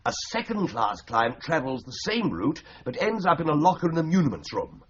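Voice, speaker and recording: Radio drama: flippant English office solicitor, very animated, wordy and droll, on slightly muffled old broadcast audio. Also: male voice, on the high side, normal speed. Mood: drily instructive. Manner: droll, mock-pompous, wordy. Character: breezy, flippant, theatrical solicitor in a stuffy London office. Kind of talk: 1980s radio drama acting.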